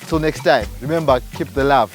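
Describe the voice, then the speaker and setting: Host signing off, music behind. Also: male voice, medium pitch, fairly quick. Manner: friendly sign-off, hesitant fillers. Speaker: channel host. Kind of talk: outro to camera. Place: outdoors on a farm. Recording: background music.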